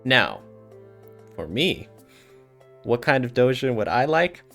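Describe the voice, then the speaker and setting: A man's voice: medium pitch, big pitch swings, slow, fairly bright tone. A man with a middling voice hosts a casual podcast. He speaks in a playful, joking manner while music plays behind his voice. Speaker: podcast host. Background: background music.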